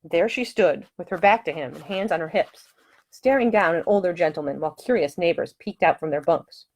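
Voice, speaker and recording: A woman's voice: fairly low pitch, animated, brisk, a little mellow. A woman reading her own novel aloud as scripted audiobook narration.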